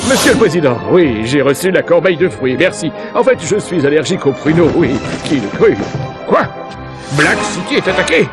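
Pompous vain hero guy, bouncy pitch, big game music behind. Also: very animated, normal speed. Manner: pompous, self-important. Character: vain boastful male superhero, pompous and cowardly. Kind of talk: dubbed video game cutscene. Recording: game audio over loud music.

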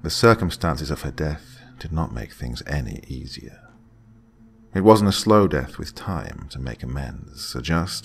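Deep gravelly man narrating horror. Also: slight background noise. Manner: slow, dramatic delivery with hushed whispered lines.

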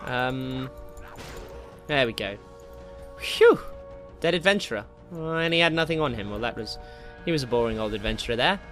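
A man giving casual, excitable commentary while playing a video game, jokey and slangy, with exclamations and swearing. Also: medium pitch, moderate pace, pauses often. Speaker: gaming video host. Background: game music.

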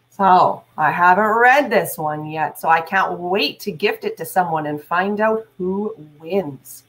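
Slow-paced woman teacher. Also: mid-range voice, poor audio. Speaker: teacher and read-aloud host. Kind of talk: read-aloud and instructional talk to children. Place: small indoor room at home.

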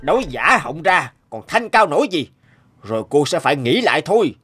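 A man's voice: on the high side, very animated, normal speed, bright, forceful tone, faint music behind. A man playing a scheming burly smuggler in a cartoon, sly, cynical and persuasive. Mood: mocking. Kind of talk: dubbed cartoon acting.

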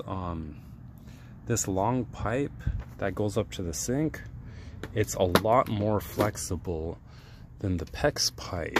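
A man's voice: fairly low pitch, heavy background noise. A man casually walking through a DIY plumbing job on his phone camera, with lots of ums and uhs. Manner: unhurried, many ums and uhs, hands-on explaining. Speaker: DIY repair vlogger. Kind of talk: casual how-to walkthrough. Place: indoors, cramped space under a sink. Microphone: handheld phone camera, first-person view.

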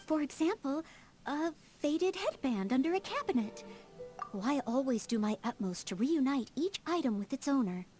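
A woman's voice: high voice, sing-song, moderate pace, a little mellow. A woman playing an eager, polite young maid in a video game, earnest and humble, with a formal, dutiful, slightly flustered manner. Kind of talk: video game character dialogue. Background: faint game music.

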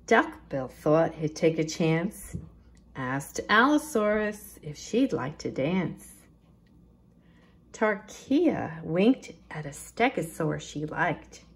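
A woman with a slightly low voice reads a picture book to children. Her pitch moves in lively swings and she takes her time over each line. She phrases expressively, stressing rhyme and rhythm like a practised storytime librarian. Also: takes it slowly.

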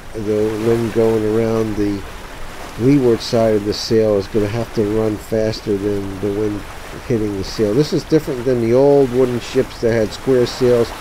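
A man presenting an unscripted how-to commentary, halting as he thinks aloud. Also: mid-range voice. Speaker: tutorial presenter. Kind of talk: unscripted how-to commentary over a sailing game. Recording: steady sea and water noise behind. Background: sailing game sounds of boat and water.

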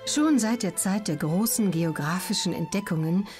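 A woman reading scripted documentary narration for an audiobook in a measured, explaining way. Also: lowish voice, darkish tone, faint music behind.

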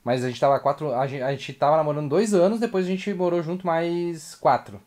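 A man's voice: a little high, fairly quick, darkish tone. A man with a southern Brazilian accent chatting casually and bluntly as co-host of a livestream. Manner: blunt, colloquial. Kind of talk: casual commentary chat. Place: small home room indoors, webcam livestream.

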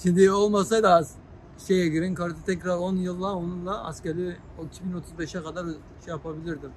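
A man chatting casually with friends, thinking aloud in a relaxed way. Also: high voice, brisk, a little hiss or hum. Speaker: friend of the vlogger.

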